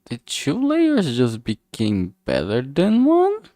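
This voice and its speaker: A streamer talking casually while working, thinking aloud and muttering in a halting way. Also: mid-range voice, wildly swooping pitch, mellow, no room sound, clean studio sound.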